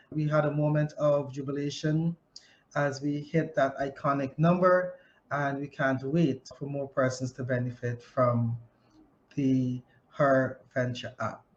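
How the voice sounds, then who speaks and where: Male Guyanese business show presenter, slightly high voice, semi-formal updates, a little muffled recording. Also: a little mellow.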